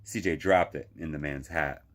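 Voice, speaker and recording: A man's voice: a bass voice, fairly even intonation, normal speed, a bit bright. A man reading a children's picture book aloud as a librarian would, expressively, drawing out phrases for emphasis.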